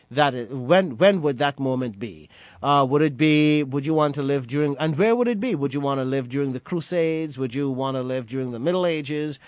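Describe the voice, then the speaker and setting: A man's voice: on the high side, no room sound. A middle-aged man from Guyana hosting a radio program, speaking earnestly and often restarting his phrases. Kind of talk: reflective on-air commentary.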